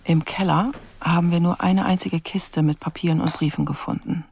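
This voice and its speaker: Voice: mid-range voice, fairly even intonation, normal speed, gravelly, a little mellow, a little hiss or hum, lightly acted. An actor playing a terse investigator in a radio crime drama, listing evidence in clipped phrases and musing aloud in questions. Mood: sober, pondering. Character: terse, probing investigator going through a dead man's things. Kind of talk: radio crime drama, first-person narration.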